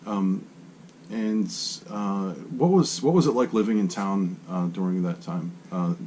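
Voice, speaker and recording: Man, deep voice, interviewer, hesitant, faint hiss. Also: measured pace, warm tone, highs cut off. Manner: hesitant, filler-heavy questions. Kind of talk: casual interview.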